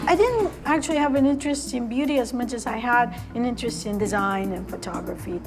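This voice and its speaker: A woman from Argentina talks easily about her work and childhood, with a gravelly fry in her voice. Her voice sits a touch high, and music plays clearly behind her. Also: a little fast. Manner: chatty, laughs easily. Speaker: cosmetics brand founder. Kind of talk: casual conversation.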